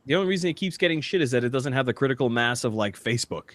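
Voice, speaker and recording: A man's voice: mid-range voice, expressive, rapid-fire, a bit bright, dry recording. A man chatting and bantering casually as a podcast co-host.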